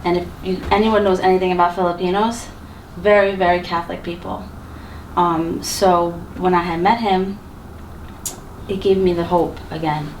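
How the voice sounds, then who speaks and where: A woman's voice: lowish voice, steady tone, moderate pace, darkish tone, slight background noise. A woman chatting casually in an interview, with lots of likes and ums. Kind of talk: personal interview. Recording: roomy sound from a distance.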